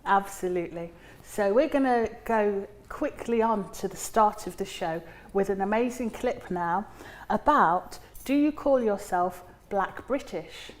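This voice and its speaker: A woman co-hosting an online chat show, upbeat and quick to chime in. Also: mid-range voice, expressive. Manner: upbeat, chiming in with short agreements. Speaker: co-host. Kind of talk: casual live-stream chat.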